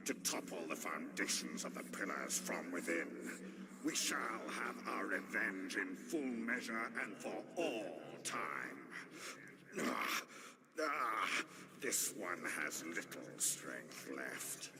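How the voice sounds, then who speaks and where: Deep, sinister old necromancer. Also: male voice, expressive, takes it slowly. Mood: vengeful. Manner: ominous, theatrical, gloating. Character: sinister old necromancer possessed by an ancient evil. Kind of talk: dramatic video game acting. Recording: clear background noise and ambience.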